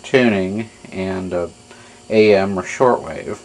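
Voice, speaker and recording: A man's voice: sits low, takes it slowly, a little hiss or hum. A man giving a casual hands-on demonstration as a radio repairer.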